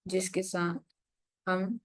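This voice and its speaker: Low-pitched woman guiding meditation. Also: monotone. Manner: unhurried, instructive, with frequent pauses. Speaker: meditation guide. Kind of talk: guided meditation instruction.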